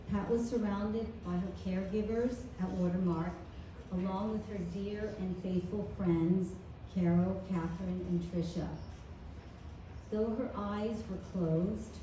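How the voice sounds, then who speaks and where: A woman reads a eulogy at a memorial in a measured, tender way, her tone mellow. She is heard from a distance in a very echoey room, with faint noise behind her. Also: medium pitch. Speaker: family member giving a eulogy. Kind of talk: reading a prepared eulogy. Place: memorial gathering in a large room.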